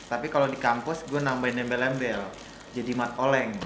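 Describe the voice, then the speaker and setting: A young man from Brebes with a Jakarta accent tells his story calmly, with a joke now and then. His pitch is middling. The room is echoey and has clear noise behind him. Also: brisk. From Brebes, Indonesia. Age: young adult. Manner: relaxed storytelling, joking. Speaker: guest. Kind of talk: casual chat interview.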